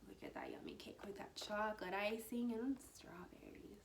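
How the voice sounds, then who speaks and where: A woman reading a children's storybook aloud like a teacher, animated and dramatic, with hushed asides to her young listeners. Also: medium pitch, moderate pace, whispered. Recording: roomy echo with faint background noise.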